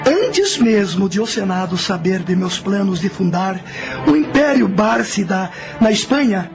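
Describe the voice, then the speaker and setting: A man's voice: high-pitched, very animated, a little slow, gravelly, full character voice. An actor playing the solemn, commanding spirit of a dead general and father in a radio drama. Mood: solemn, tender. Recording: radio drama with music underneath.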